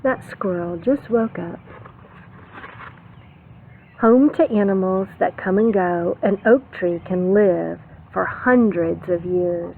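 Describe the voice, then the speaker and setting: A woman preschool teacher reading a picture book aloud to young children, expressive, with simple explanations and questions. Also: on the low side, takes it slowly, creaky. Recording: phone-like narrow band, faint background noise.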